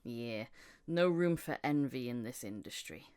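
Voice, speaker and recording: Low, smooth-voiced woman hosting. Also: animated, unhurried, darkish tone. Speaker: podcast host, voice actor. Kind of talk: casual interview chat.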